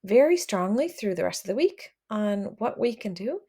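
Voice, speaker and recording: A woman reading a picture book aloud to children, expressive and addressing them directly as she comments along. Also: mid-range voice, normal speed, slightly rough. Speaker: read-aloud storyteller for children.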